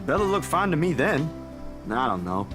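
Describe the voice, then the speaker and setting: A man's voice: a little high, big pitch swings, moderate pace. An actor playing a polite, sensible, easygoing young man in a visual novel game. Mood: hesitant, calm. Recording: game music over voice.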